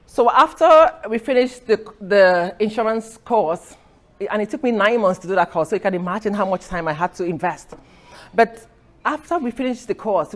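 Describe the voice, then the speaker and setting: A middle-aged woman from Nigeria giving an earnest personal talk at an event, telling her story with restarts and light laughs. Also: mid-range voice. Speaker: speaker. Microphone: recorded for a podcast.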